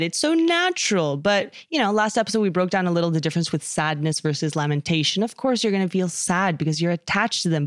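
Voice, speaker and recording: A woman co-hosting a podcast, chatting casually and quickly about scripture and laughing often. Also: mid-range voice, no room sound, studio quality. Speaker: podcast co-host.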